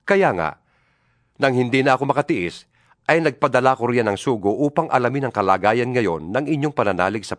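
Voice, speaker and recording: A man's voice: mid-range voice, no echo, clean studio sound, natural voice. A man playing an earnest, fatherly apostle exhorting his flock in a dramatized Bible reading. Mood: anxious, earnest.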